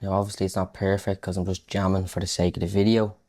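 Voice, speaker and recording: A man's voice: sits low, little pitch movement, quick pace, a little mellow. A man giving a casual music production tutorial, talking informally and thinking aloud as he works. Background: electronic house music.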